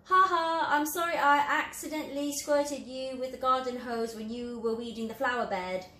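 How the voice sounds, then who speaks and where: Woman acting a teasing hyena, storybook character, high-pitched, mocking, insincere, roomy echo. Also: moderate pace, sharp tone. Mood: mocking, gleeful. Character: mocking, giggly hyena squirting a friend. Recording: roomy echo, light background noise.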